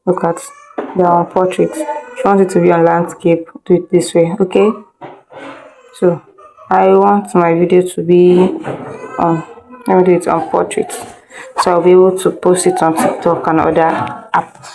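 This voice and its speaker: A woman's voice: fairly low pitch, little pitch movement, normal speed, gravelly, pauses often, low-quality recording. A woman teaching an informal step-by-step screen tutorial, instructing directly, repeating herself and checking that learners follow. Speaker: video editing instructor.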